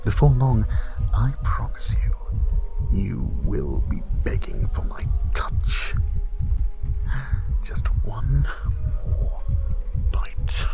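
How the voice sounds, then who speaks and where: Man acting sadistic possessive vampire, low-pitched, breathy whisper, crooning commands, intimate ASMR, music underneath. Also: big pitch swings, full character voice. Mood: seductive, hungry. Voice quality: breathy, often dropping to a whisper. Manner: slow, commanding, mock-tender crooning. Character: sadistic dominant male vampire, possessive, seductive captor.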